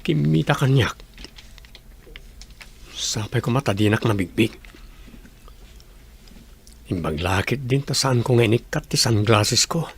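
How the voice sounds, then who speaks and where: A man acting as a scheming, confiding friend in an audio drama, with a husky voice. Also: mid-range voice.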